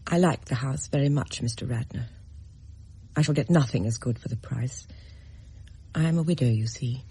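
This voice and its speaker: A woman's voice: a bass voice, moderate pace, dark tone, breathy edge, exaggerated voice. A woman playing a genteel, anxious widowed mother in a radio mystery drama, with a polite, refined, fretful manner. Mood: polite, subdued.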